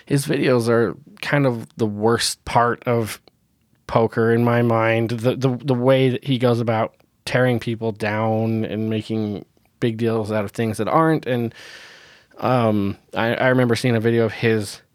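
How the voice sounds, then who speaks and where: Guy w a stuffy nose ranting on his podcast, mid pitch, dry close mic, blunt, laughs a lot. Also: level tone, a little slow, no echo. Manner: blunt and opinionated, laughs often. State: nasal from a cold. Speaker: podcast host. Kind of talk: casual solo podcast talk.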